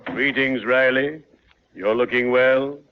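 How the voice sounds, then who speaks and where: Extremely drawn-out, solemn speech comes from a man acting a courtly comedy undertaker. He is formal and mournful, yet morbidly pleased. The sound is a dull, muffled 1940s radio recording. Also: medium pitch, fairly even intonation, heavy background noise, natural voice. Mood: solemnly genial.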